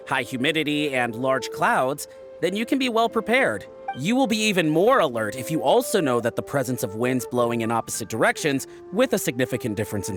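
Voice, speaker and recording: Eager, higher-voiced sailor. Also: male voice. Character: friendly, proud male ship's lookout teaching weather lore. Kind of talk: scripted video game dialogue. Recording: music behind the voice.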